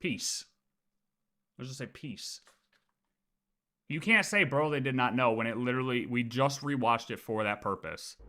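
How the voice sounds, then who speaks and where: A man's voice: medium pitch, measured pace, long pauses, pushed and bright. A man doing casual live commentary as a streamer, arguing emphatically and repeating his points. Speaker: livestream host reacting to game lore.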